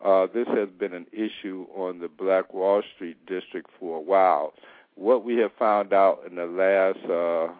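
A man co-hosting a call-in radio show as interviewer, asking rambling, halting questions full of fillers. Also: deep voice, steady tone, dry recording. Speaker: radio co-host and newspaper reporter. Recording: dry, tinny phone line.

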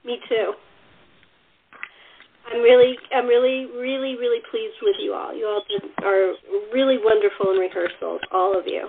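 A woman's voice: on the high side, steady tone, moderate pace, vocal fry, a little hiss or hum. A woman hosting a casual cast interview, speaking effusively and with emphasis over a phone line. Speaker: host and playwright.

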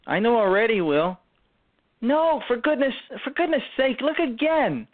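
A man voicing an excitable thirteen-year-old boy in an amateur radio drama, exclaiming and stammering over repeated words. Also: very high voice, measured pace, voice pushed up, heavily acted. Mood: urgent, amazed. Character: excitable thirteen-year-old boys, frightened yet thrilled by a storm. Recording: tinny, narrow phone-like sound.

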